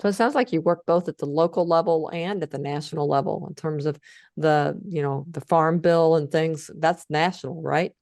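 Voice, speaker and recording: A woman with a low voice in a dry, close recording. She interviews casually, phrasing her questions in a tentative, roaming way. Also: moderate pace, a little mellow, no echo. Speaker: interviewer, co-host.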